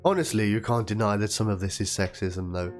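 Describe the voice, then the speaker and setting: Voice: low-pitched, fairly even intonation, a little fast. A reader giving a theatrical dramatic reading of written messages in an aggrieved, pleading manner. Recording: music under the voice.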